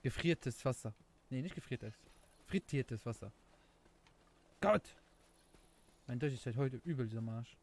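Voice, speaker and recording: A man's voice: medium pitch, measured pace, pauses often, darkish tone, a little hiss or hum. A young man from Luxembourg with a Luxembourgish accent, chatting casually while streaming a game, rambling and hunting for words. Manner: rambling, laughing, self-mocking, searches for words. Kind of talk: casual gameplay stream chat.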